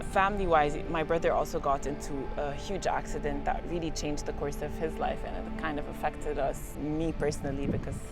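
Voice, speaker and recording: A young woman athlete from Lebanon and Liberia, speaking reflectively in a documentary interview with casual fillers. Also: low-pitched. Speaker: sprinter.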